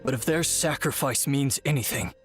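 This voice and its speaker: An actor playing a grave, weary male warrior in a video game, a sole survivor with a husky voice. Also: medium pitch, normal speed, close to an everyday voice. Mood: solemn gratitude. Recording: game music behind the voice.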